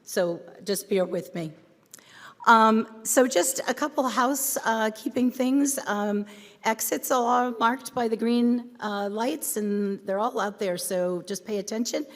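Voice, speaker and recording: A woman with a hoarse voice giving a chatty welcome address and announcements to a conference. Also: fairly high pitch, slow pace. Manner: friendly, chatty, many ums and restarts. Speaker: conference co-chair. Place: large conference hall. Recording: reverberant hall.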